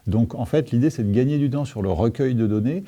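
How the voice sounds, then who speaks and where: A man's voice: medium pitch, a little fast, mellow. A man answering as a panel guest, explaining hesitantly and choosing his words with care. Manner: hesitant, careful with wording.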